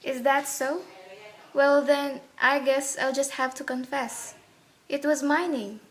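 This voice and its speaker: An actress playing a gentle, youthful-looking married woman in a radio play, playful yet anxious. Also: high voice, fairly even intonation, takes it slowly. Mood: soft, teasing.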